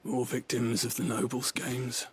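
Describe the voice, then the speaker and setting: A man's voice: mid-range voice, completely flat delivery, a little slow, a little hiss or hum, close to an everyday voice. A man playing a sombre, dutiful servant in a video game, subdued and plain-spoken. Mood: sombre, weary.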